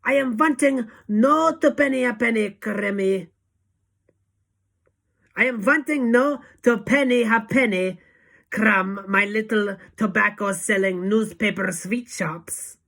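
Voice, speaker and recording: A woman's voice: medium pitch, lively intonation, unhurried, gravelly, fairly bright tone, slightly rough, pitched above the performer's own voice, heavily acted. A woman voicing a tiny, fiendish, tyrannical witch leader who screeches and barks commands in mangled grammar for a storybook. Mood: commanding. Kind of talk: dramatic audiobook character acting.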